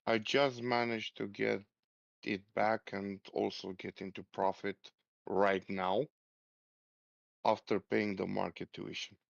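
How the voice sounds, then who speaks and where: A man speaks over dull, dry lo-fi voice chat audio. His voice has a fry to it, and he tells personal stories casually and haltingly, repeating phrases for emphasis. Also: fairly low pitch, flat delivery, dry recording. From Romania. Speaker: trader taking part in a group voice chat.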